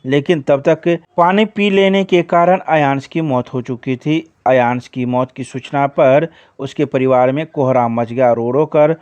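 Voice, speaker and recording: A man's voice: mid-range voice, measured pace, a little mellow, clean tone, no echo. A man reading scripted local news reports as a news reader.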